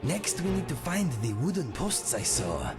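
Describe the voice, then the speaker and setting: A man's voice: a little high, very animated, normal speed, over music. An earnest cat-folk swordsman. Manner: earnest, distracted, sniffing out trails. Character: male cat-person swordsman companion.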